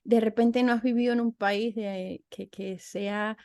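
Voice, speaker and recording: A woman's voice: fairly high pitch, fairly even intonation, unhurried, warm tone. A woman hosting a casual interview, talking reflectively and often restarting her phrases. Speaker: host, interviewer.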